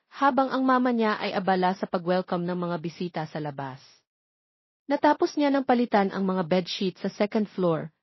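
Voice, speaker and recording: Casting: Filipino woman, story narrator for scripted romance, medium pitch, slightly lo-fi dull recording. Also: dry recording.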